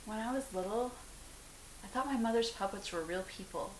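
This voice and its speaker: A woman's voice: mid-range voice, normal speed, close to an everyday voice. A woman acting a candid, wry teenage girl in an amateur dramatic dialogue reading. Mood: wry, animated. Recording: roomy echo, hiss in background.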